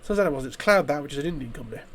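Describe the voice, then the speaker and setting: Hoarse British man rambling over gameplay. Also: fairly high pitch, lively intonation, quick pace, a bit bright, slight background noise. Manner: rambling, swears often, frequent restarts. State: hoarse from a chest infection. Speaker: gaming YouTuber. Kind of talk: casual commentary while playing a game.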